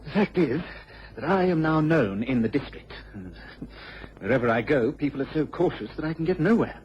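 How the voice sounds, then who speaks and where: An actor playing an earnest, baffled English police investigator in a radio drama, speaking haltingly and apologetically. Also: male voice, fairly high pitch, lively intonation, natural voice. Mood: earnest, hesitant. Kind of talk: British radio drama acting. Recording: dull old radio recording, clear noise.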